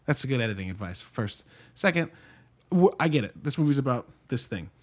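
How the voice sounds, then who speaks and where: A man's voice: mid-range voice, expressive, brisk. A man giving a casual solo movie review in a conversational, wry way, breaking into his own thoughts with dry asides. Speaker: podcast host and movie reviewer.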